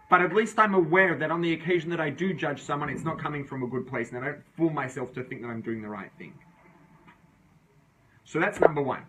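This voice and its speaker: Guy w a slightly high voice teaching a chill torah class, thinks out loud, keeps going "right?", bit of hiss. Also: low-quality recording. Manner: conversational, checks in with "right?"; reasoning aloud with restarts. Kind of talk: informal religious class.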